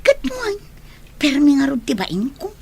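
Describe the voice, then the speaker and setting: High-pitched, whiny Ilocano village woman acted out. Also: huge pitch swings, moderate pace, vocal fry, warm tone, exaggerated voice. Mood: gossipy. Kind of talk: Ilocano comedy radio drama acting.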